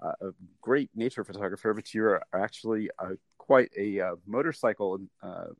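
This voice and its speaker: A man's voice: on the low side, moderate pace, dry recording. Enthusiastic male podcast host. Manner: enthusiastic, repeats words, many fillers. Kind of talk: casual interview.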